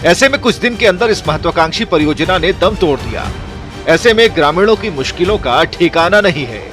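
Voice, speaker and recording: A man's voice: sits high, expressive, brisk, fairly bright tone, soft background music. A man reading scripted television news reports as a voice-over presenter.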